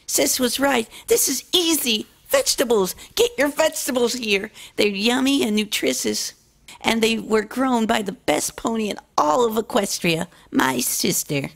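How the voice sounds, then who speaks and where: A woman's voice: fairly high pitch, measured pace, gravelly, pitched above the performer's own voice. A woman voicing a shy little filly pony selling vegetables. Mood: excited. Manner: soft, nervous, childlike.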